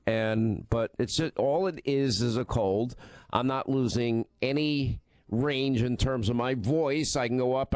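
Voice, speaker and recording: A middle-aged man hosting a talk radio show while he has a cold, talking in a nasal, stuffy voice with a chatty, defensive manner and quick asides. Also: mid-range voice, clear, resonant tone, dry recording. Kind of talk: casual on-air banter.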